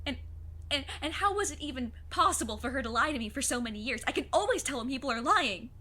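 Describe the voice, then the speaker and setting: A woman playing a plucky, earnest fifteen-year-old witch's apprentice in an audio drama, with a husky voice and formal, precise wording. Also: high-pitched, lively intonation, fast, bright, forceful tone, exaggerated voice. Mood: shaken, distressed. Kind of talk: scripted audio drama acting.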